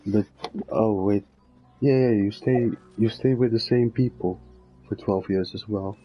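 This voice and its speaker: A man's voice: lowish voice, fairly even intonation, measured pace, husky, lo-fi sound, faint music behind. A man streaming a video game, chatting casually and thinking aloud as he plays. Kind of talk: casual chat while gaming.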